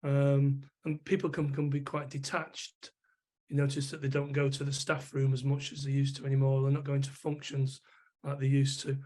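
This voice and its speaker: A man working as a psychotherapist, answering interview questions thoughtfully with a rough voice from a chest infection. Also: medium pitch, completely flat delivery, moderate pace, darkish tone. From England. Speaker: psychotherapist guest.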